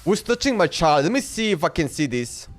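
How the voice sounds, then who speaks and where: A man's voice: on the high side, sing-song, fairly quick. A man livestreamer chatting casually in a slangy, exclamatory way as he reacts, often repeating himself. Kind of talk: casual reaction stream chat. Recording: background music under voice. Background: music.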